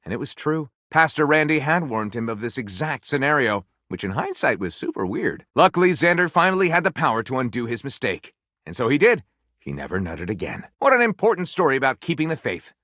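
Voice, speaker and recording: Flamboyant, pompous storyteller with wild swoops. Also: male voice, medium pitch, big pitch swings, fairly quick, bright, forceful tone, no echo, clean studio sound. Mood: theatrical, wry. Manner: grandiose, melodramatic narrator delivery with gushing exclamations. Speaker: voice actor playing a game character. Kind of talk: theatrical storytelling, video game voice lines.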